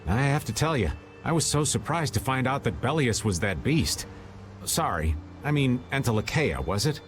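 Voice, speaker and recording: A man's voice: medium pitch, expressive, normal speed, over music, lightly acted. A man playing a roguish, easygoing middle-aged rascal in a video game, playful and world-wise. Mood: teasing. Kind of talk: video game dub acting.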